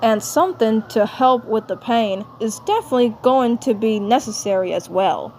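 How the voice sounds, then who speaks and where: A woman voicing a caring male cartoon helper, earnest and reassuring, in a deliberate, repetitive delivery. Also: on the high side, lively intonation, darkish tone. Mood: practical. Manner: deliberate, repeats phrases. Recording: faint background noise.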